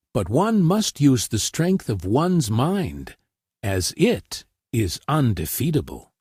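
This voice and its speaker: Slow, expressive male storyteller. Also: mid-range voice, husky, no room sound, studio quality. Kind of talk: scripted children's story narration.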